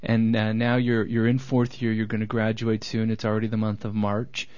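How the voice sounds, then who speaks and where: A man's voice: fairly low pitch, monotone, normal speed, a little mellow. A man hosting a radio interview, asking friendly, probing questions. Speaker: radio show host. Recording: dull lo-fi radio recording.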